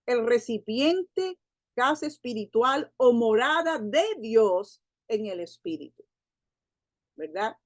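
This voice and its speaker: A woman from the Dominican Republic teaching the Bible to a women's gathering. She slows and lifts her voice for scripture and adds quick asides and tag questions. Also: medium pitch, darkish tone, dry recording.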